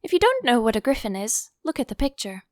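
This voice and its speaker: A woman reading an audiobook as an expressive storybook narrator, close to the microphone. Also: a little high, fast, dry recording.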